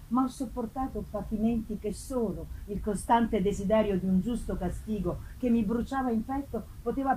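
A woman's voice: mid-range voice, dark tone, a little hiss or hum, natural voice, poor audio. A woman voicing an anguished, vengeful man in a solemn, impassioned, theatrical reading of a gothic novel. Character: anguished, vengeful man hunting the demon who wronged him. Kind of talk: dramatic first-person gothic novel reading.

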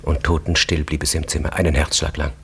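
A man narrating a literary radio drama in a measured, grave manner. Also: a bass voice, level tone, creaky, fairly bright tone, a little hiss or hum, full character voice.

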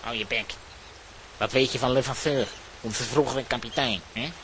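Man acting a gruff, bullying pirate captain. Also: medium pitch, fairly even intonation, normal speed, a bit bright, a little hiss or hum, lightly acted. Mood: threatening. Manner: gruff, commanding, threatening. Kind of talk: children's radio play acting.

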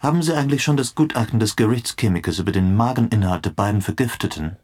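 An actor playing a wry, inquisitive investigator in a German radio play, slyly dropping in English phrases. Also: male voice, on the low side, animated, fast, a little mellow. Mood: knowing, secretive. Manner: peppers talk with English phrases, sly.

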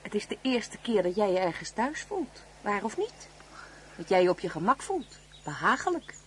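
A woman's voice: mid-range voice, sing-song, moderate pace, a little hiss or hum, natural voice. An actress playing a motherly, insistent married woman in a radio play, coaxing and teasing with little tag questions. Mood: soft, coaxing.

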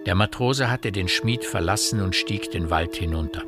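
An actor narrating a dark literary radio play as a sober, detached, articulate storyteller. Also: male voice, deep voice, normal speed, loud music behind the voice, lightly acted. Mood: measured, detached.

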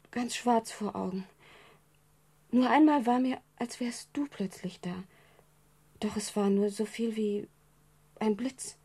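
An actress playing a traumatized young woman in a radio crime drama, speaking haltingly and breathlessly. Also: mid-range voice, dark tone, breathy edge. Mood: weak, hushed. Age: young adult. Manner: halting, breathless recounting, trailing into whispers. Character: traumatized young woman, fragile, tender, shaken.

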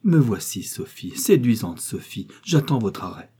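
Male reader doing a fawning lovesick young suitor, gushing and theatrical, pitched up, husky tone, storybook. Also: animated, normal speed, dark tone. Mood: gushing, pleading.

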